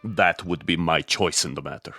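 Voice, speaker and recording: An actor playing a weary, defiant former major in a radio drama, speaking in a terse, grave and bitter way. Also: male voice, low voice, normal speed, bright, forceful tone, soft background music, heavily acted. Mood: weary defiance. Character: weary, defiant male ex-major, escaped political prisoner.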